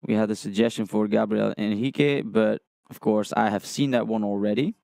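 A man's voice: fairly low pitch, fairly quick, creaky, warm tone, dry recording. A young man on a livestream, chatting casually and thinking aloud as he reads chat, recorded on a studio mic in a small room. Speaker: music reaction streamer. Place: small room with colored lights, indoors. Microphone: studio condenser mic on a stand, headphones on.

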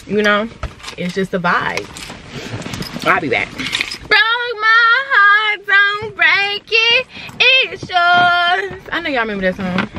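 Young woman vlogger, blunt and sassy, phone on the dash, car hum behind her. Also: mid-range voice. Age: young adult. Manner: blunt, fired-up, swears freely, talks to viewers as "y'all". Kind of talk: casual vlog chat to camera. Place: inside a car. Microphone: phone camera on dashboard. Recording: clear car road noise. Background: car and road noise.

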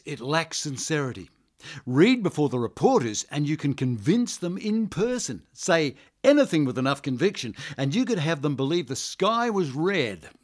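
An actor playing a smooth, manipulative party handler who talks glibly and persuasively. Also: male voice, fairly high pitch. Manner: persuasive, glib.

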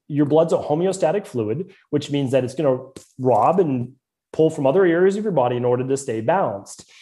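A middle-aged man with a Boston accent talks through medical ideas at length, earnest and thorough, often restarting a phrase to get it right. His voice is mellow and dark, sitting at an ordinary pitch for a man. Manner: earnest, detailed, fluent medical explaining with frequent restarts. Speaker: naturopathic doctor, podcast guest. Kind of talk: explanatory interview talk.

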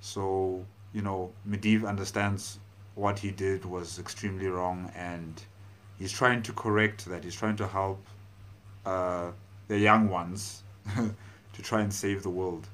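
A man in his thirties giving casual, halting, thoughtful commentary as a video host, indoors on a lapel mic. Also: sits low, little pitch movement, fairly bright tone, a little hiss or hum. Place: small room indoors.

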